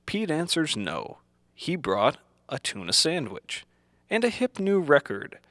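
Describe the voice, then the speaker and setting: Slow, lilting male instructional narrator. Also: a little high, wildly swooping pitch, a bit bright, no echo, studio quality. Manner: deliberate, didactic, simple enunciated phrasing. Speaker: narrator of an educational film parody. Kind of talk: scripted instructional narration, old educational film manner.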